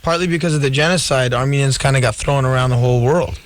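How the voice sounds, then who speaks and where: A musician guest chats on a radio show from the studio and explains things in an earnest way. He talks quickly and keeps pushing through his thoughts. His voice sits at an ordinary male pitch. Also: slight background noise. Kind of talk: casual radio chat. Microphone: studio microphone.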